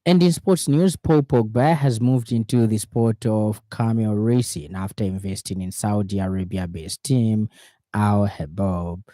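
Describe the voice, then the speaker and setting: A male radio newsreader with a Zambian accent delivers a scripted bulletin in a low, very dark and mellow voice. The studio sound is dry and close. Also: unhurried, no room sound. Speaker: radio news reader. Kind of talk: scripted news bulletin reading.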